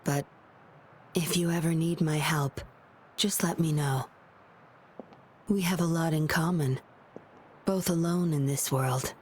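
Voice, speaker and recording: A woman's voice: deep voice, steady tone, takes it slowly, long pauses, darkish tone. A woman voicing a guarded, composed video game patroller with a wry, lonely streak. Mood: melancholy, reflective. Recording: clear ambient noise behind voice.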